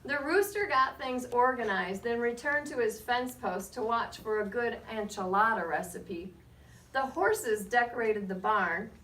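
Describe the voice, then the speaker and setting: A woman reading a picture book aloud to children as a guest storyteller, with expressive, measured delivery and short asides to her listeners. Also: on the high side. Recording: roomy, distant mic, faint background noise.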